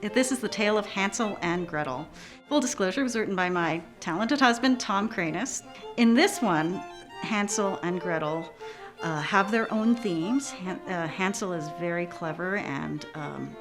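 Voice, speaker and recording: Woman, slightly low voice, reflective, searching for words, prominent strings behind. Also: normal speed. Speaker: orchestra musician. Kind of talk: reflective interview talk. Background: string music.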